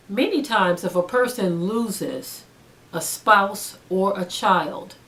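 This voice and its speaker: A middle-aged woman giving informal spiritual teaching in an earnest, deliberate manner, pausing between short phrases. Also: on the low side. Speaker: religious teacher.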